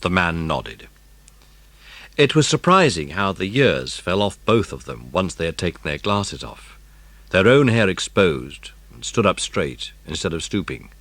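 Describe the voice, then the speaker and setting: A man's voice: low-pitched, very animated, a little slow, a bit bright, no room sound. A man reading scripted narration of a children's mystery story as an audiobook narrator.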